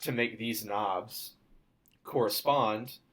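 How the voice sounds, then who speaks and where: A young man, a student, walking through a demo of his software project in an informal, step-by-step way. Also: fairly low pitch, takes it slowly. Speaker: student presenting a class project.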